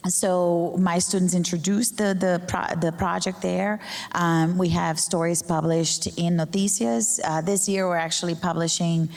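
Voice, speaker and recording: A middle-aged Brazilian woman whose first language is Portuguese speaks on a panel in a lowish, creaky voice. She pauses to find her words as she addresses the audience. The hall adds a long echo around her. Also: fairly even intonation, a little mellow, soft background music. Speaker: journalism professor and panelist. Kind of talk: informal panel talk to an audience. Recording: echoey hall with long reverb.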